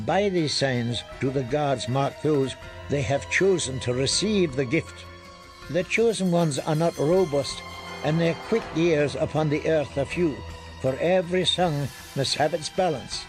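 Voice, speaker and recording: Male actor as solemn ancient pale lord of fantasy audiobook, dry, halting, weighty words over soft music. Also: on the high side, close to an everyday voice. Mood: solemn, cryptic.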